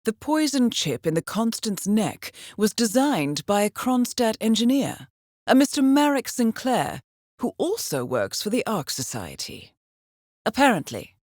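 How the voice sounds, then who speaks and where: An actress playing a composed, shrewd intelligence handler in a video game, briefing an assassin in a measured, formal way with dry understatement. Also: female voice, on the high side, darkish tone, no echo. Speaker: mission handler guiding an assassin. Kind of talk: scripted video game voice acting, briefing narration.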